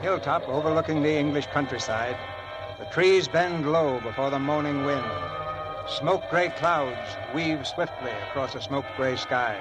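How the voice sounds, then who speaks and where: Theatrical male vintage radio host, slightly high voice. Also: a little slow, rough recording. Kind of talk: scripted 1940s radio narration. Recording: vintage radio broadcast with music.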